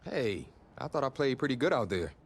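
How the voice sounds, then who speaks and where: A man's voice: medium pitch, sing-song, brisk, vocal fry, a little hiss or hum. A man playing a proud, defensive young college quarterback in a naturalistic video game cutscene. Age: young adult. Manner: defensive, argumentative.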